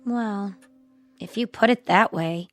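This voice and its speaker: A woman's voice: medium pitch, slow, faint music behind, natural voice. A woman acting in a radio drama as a bored, spoiled, defiant young daughter with a petulant manner. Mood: grudging.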